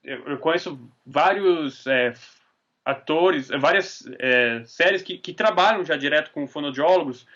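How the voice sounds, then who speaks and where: A man with a Curitiba accent, hosting a live podcast and speaking in a halting way, with many pauses and fillers. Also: a little high, fairly bright tone, highs cut off. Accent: Curitiba Portuguese. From Curitiba, Brazil. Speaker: podcast host. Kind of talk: casual chat on a live podcast.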